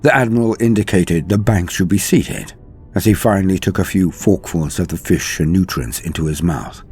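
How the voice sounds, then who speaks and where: A man with a low, husky voice reads a dramatic military science fiction tale. His narration is scripted and measured, with music playing behind him. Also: a little mellow. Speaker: narrator and storyteller.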